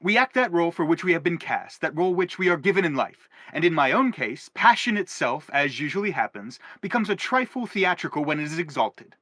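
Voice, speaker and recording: A man's voice: on the high side, big pitch swings, fairly quick, few pauses, pushed and bright, no echo, exaggerated voice. A man playing an earnest, persuasive, self-dramatizing father in an audio stage play. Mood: earnest, exalted. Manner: earnest, insistent, theatrical.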